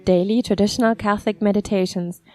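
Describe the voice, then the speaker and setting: A woman's voice: medium pitch, fairly even intonation, quick pace, warm tone. A woman announcer reading a scripted podcast introduction. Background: music.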